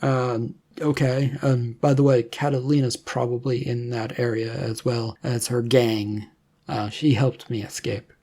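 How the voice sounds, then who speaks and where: A narrator doing a hesitant, husky reluctant young hero. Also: medium pitch, flat delivery, a little slow, dark tone, pitched below the performer's own voice. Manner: hesitant, stammering, questioning. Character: nervous, bewildered young male reluctant hero.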